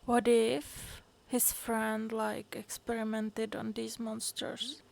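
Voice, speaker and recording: A woman's voice: a little high, flat delivery, slow, creaky, darkish tone. A woman livestreaming a video game, giving casual, musing, understated commentary as she plays.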